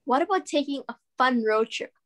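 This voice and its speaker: A woman playing an upbeat, coaxing stranger in a comedy scene reading, encouraging and a little halting. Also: high-pitched, lively intonation.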